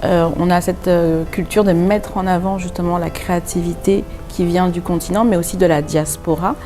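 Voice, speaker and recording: A woman's voice: lowish voice, steady tone, normal speed, dark tone, faint music behind. A woman from France with a French accent, a designer and boutique founder answering interview questions earnestly, with many hesitations as she explains at length. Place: pop-up boutique.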